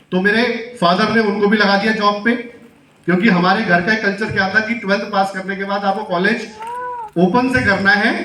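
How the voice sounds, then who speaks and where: A man from Delhi addresses a seminar audience and keeps asking them questions as he talks. His voice sits high, with the room adding a strong echo. A faint hum of ambience runs underneath. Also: fairly bright tone, distant mic. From Delhi, India. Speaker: seminar speaker. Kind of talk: motivational seminar talk. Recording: echoey hall, mic heard from afar.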